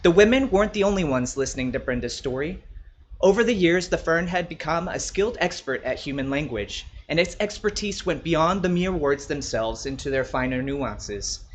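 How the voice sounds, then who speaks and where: A man with a fairly high voice narrates a short story as its author. The delivery is scripted storytelling, with faint ambient noise in the recording. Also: expressive, normal speed. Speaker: author reading his own short story.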